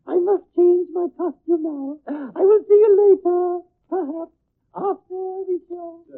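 An actress playing an effusive, dramatic old foreign stage actress in a vintage radio drama. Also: female voice, extremely high pitch, unhurried. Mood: gracious. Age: older. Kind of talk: 1940s radio acting. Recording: tinny band-limited old radio broadcast.

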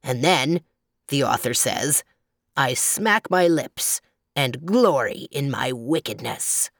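A woman voicing a cruel, menacing grizzly bear in a storybook, with a deep, growly voice. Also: lively intonation, slow pace, dry recording, studio quality, exaggerated voice. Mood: menacing, gloating. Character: menacing, cruel grizzly bear, matter-of-fact about appetite.

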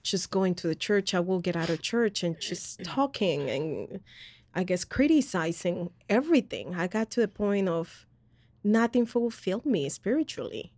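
A woman with a slightly low voice tells her own story as a podcast host. She talks earnestly and loosely, full of fillers and restarts. The recording is close and dry. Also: big pitch swings, normal speed, darkish tone, dry recording. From Colombia. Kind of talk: personal faith testimony in casual chat.

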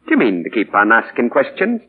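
Witty English novelist, tinny old radio. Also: male voice, medium pitch, wildly swooping pitch, a little fast, slightly rough. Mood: playful, teasing. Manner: theatrical, playful. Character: witty, teasing English novelist nearing middle age. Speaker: radio actor. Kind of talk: 1940s radio acting. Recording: tinny, band-limited 1940s radio broadcast.

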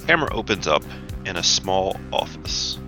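A man's voice: low voice, very animated, moderate pace, music in the background. A man narrating a tabletop roleplaying game as the game master, setting scenes and calling for rolls.

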